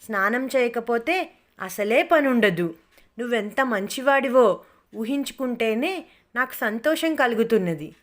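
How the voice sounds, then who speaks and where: A woman voicing a stubborn, cunning village wife in an animated story, brazen and insistent. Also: mid-range voice. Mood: breezy, pleased. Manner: brazen, insistent, quick to retort. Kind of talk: animated moral story character voice.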